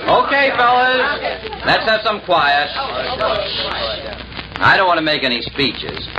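An actor playing a genial, avuncular camp director who hems and hesitates in a 1940s radio show. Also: male voice, sits high, huge pitch swings, slow pace, crowd noise, full character voice. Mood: genial, instructive. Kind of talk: 1940s radio comedy acting. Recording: muffled, faintly noisy 1940s radio broadcast.

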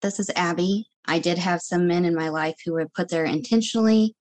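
A woman's voice: fairly low pitch, fairly even intonation, fairly quick, a little mellow. Earnest woman in her thirties. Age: 30s. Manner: earnest, reflective. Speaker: guest. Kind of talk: personal testimony.

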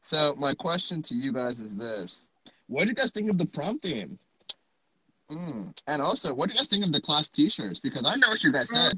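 A man's voice: a little high, sing-song, moderate pace, sharp tone, slightly rough, dry recording, poor audio. A young man from Texas hosting a casual podcast chat, playful and joking, laughing often. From Dallas, Texas. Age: young adult. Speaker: podcast host.

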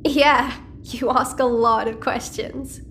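A woman's voice: on the high side, steady tone, slow, a bit bright, slight background noise. An actress playing a chatty, cheeky, nosy teenage girl in an audio sci-fi drama. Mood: friendly, teasing. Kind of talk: audio-fiction drama dialogue.

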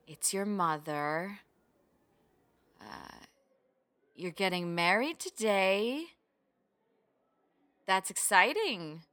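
A flighty, distracted mother in a radio drama. She speaks very slowly and hesitantly, in a bright voice, drifting vaguely between phrases. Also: fairly low pitch, exaggerated voice. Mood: distracted, flat. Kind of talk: radio play acting.

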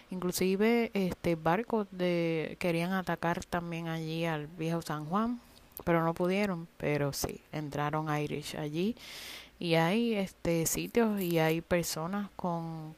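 A woman's voice: sits low, a little mellow. A woman from Puerto Rico with a Puerto Rican accent, hosting a casual solo podcast, rambling with many fillers and some cursing. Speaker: podcast host.

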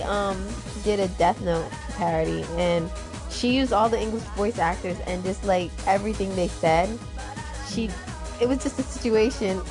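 Young woman in her twenties, raised pitch, giggly and rambling in loose chat; soft music underneath. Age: 20s. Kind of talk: casual chat.

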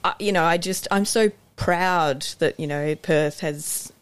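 A woman with an Australian accent talks through her memories in a warm, rambling way, full of "uh" and "you know". Her voice sits low for a woman. The recording is dry and close, with no room sound. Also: measured pace, clean tone, no room sound. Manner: warm, chatty storytelling with fillers. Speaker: running club co-president, guest. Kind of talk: casual podcast interview.

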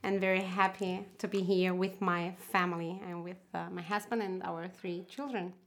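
A woman from Catalonia, Spain, speaking as an interview guest in a casual, hesitant way, with many fillers and soft trailing phrases. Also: lowish voice, steady tone, normal speed, a little hiss or hum. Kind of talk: casual conversation.

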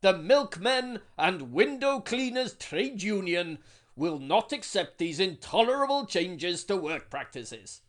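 A man playing a pompous Welsh trade union leader giving a grand, booming speech in a comedy sketch. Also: very animated, takes it slowly, exaggerated voice. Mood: grandiose. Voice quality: booming, grandiose rally oratory.

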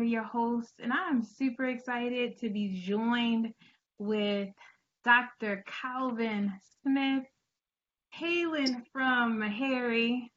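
A woman's voice: a little high, fairly bright tone, muffled recording. A woman hosting a conversational interview in an enthusiastic, encouraging manner. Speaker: show host.

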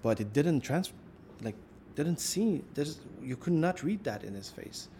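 A man's voice: on the high side, sing-song, a little slow, dark tone, a little hiss or hum. Rambling male interviewer. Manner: rambling, thinks aloud with many "like"s. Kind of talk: casual conversational interview.

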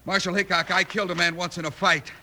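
A man's voice: high-pitched, flat delivery, quick pace, vocal fry, bright, forceful tone, heavily acted. An American man playing a desperate henchman turned eager informant in a 1950s radio western, talking in an earnest, agitated way. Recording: old radio recording, faint noise.

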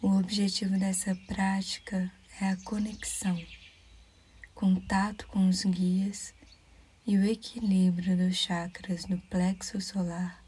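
A Brazilian woman leading a guided meditation in a soft, breathy near-whisper, calm and soothing, leaving measured pauses. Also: medium pitch.

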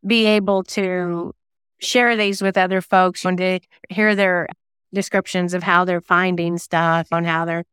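Woman, smooth clean voice, mid pitch, dry close sound, earnest interview guest, reflective. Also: flat delivery, measured pace, a bit bright, no echo. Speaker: guest, singer-songwriter and novelist. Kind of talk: conversational interview answers.

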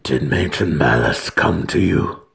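Man acting a low, timid dark elf underling. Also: animated, a little slow, gravelly, breathy edge, heavily acted. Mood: frightened. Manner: hesitant, subdued. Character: timid, downtrodden male dark elf, pawn of matriarchs.

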